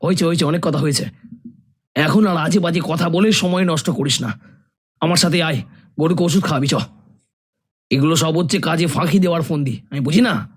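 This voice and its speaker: A man's voice: on the high side, fairly quick, pauses often, breathy edge. A man voicing a practical, dismissive middle-aged village father in a horror cartoon.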